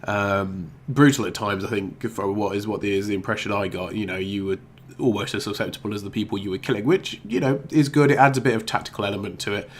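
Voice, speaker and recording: A man's voice: on the low side, steady tone, fast, hardly any pauses, slight background noise. A man with a blocked nose from a cold, hosting a casual gaming vlog, rambling and hesitant with many ums and restarts. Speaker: YouTube gaming channel host.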